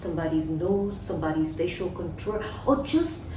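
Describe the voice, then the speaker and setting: A woman speaks a little low, chatty and eager, reeling off long lists as she answers. She sits back from the mic in a reverberant room with a faint murmur of ambience behind her. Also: lively intonation, normal speed, far from the mic, background noise. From Singapore. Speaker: guest, collage artist. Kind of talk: casual interview answers.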